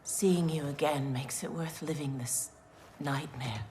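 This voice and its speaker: An actress playing an earnest, tender warrior princess in a video game cutscene, speaking sincerely. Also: female voice, deep voice, measured pace, warm tone, slight background noise. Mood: tender, relieved.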